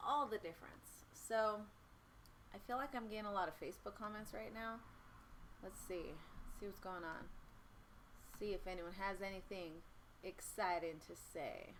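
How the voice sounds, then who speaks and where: A woman with a slightly raspy voice chatting casually and playfully in slang as a live stream host, full of exclamations. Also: mid-range voice, normal speed, a bit bright, slight background noise. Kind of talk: casual livestream chat.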